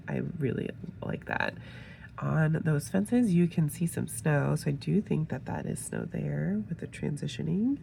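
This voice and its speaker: A woman getting over a head cold, sounding a little nasal, casually showing off a sticker kit with relaxed, gushing asides. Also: deep voice, unhurried, gravelly, a little hiss or hum. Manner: relaxed, gushing, with fillers and soft trailing asides. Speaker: planner sticker reviewer. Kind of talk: casual show-and-tell narration.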